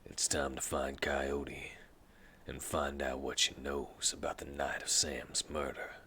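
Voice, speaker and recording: A man reading game text aloud for a let's play in a dramatic, lively way. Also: extremely low pitch, slow, a little hiss or hum.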